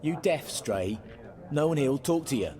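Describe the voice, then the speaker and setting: An actor playing a hostile, rowdy tavern thug in a video game, jeering aggressively. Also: male voice, medium pitch, animated, moderate pace, natural voice. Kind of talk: video game cinematic acting. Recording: crowd chatter behind the voice.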